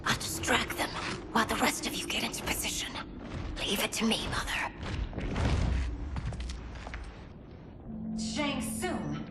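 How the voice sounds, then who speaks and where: A woman playing a resolute young warrior princess in a video game cutscene, with formal, deliberate diction. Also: fairly high pitch, very animated, over loud music. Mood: determined.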